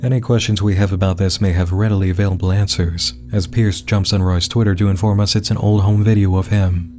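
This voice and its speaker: A man with a fairly low voice delivers scripted narration as the host of an explainer. His delivery is measured and dramatic, like a storyteller's, with music clearly playing behind him. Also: steady tone, dark tone.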